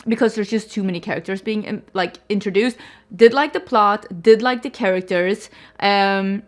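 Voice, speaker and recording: A woman's voice: medium pitch, normal speed. A young woman, talking casually to camera as she reviews books, with lots of fillers and self-corrections. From Sweden. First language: Swedish. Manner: chatty, filler-heavy, frequent restarts and "like". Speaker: book reviewer. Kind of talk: casual book review chat.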